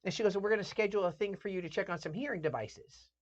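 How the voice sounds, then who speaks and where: Fast-talking woman telling a story. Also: mid-range voice. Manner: animated storyteller who acts out both sides of conversations. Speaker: pastor and co-host. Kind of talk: casual podcast chat. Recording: dull lo-fi podcast audio.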